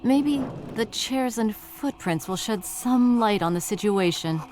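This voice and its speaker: A woman's voice: a little high, lively intonation, unhurried, darkish tone, a little hiss or hum. An actress playing a determined female detective in a video game, thinking aloud in an earnest, reflective way about what she finds. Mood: worried, determined.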